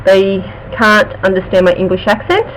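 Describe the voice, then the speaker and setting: Halting Australian woman live streaming. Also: medium pitch, fairly even intonation, slight background noise, poor audio. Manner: halting, with restarts and many fillers. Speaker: true crime channel host. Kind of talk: casual live stream chat.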